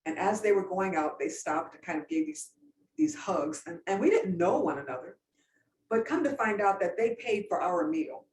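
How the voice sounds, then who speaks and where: A woman's voice: mid-range voice, big pitch swings, normal speed, darkish tone. A woman pastor on a panel, telling a story in an earnest, reflective way. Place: event room. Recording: roomy distant sound with faint noise.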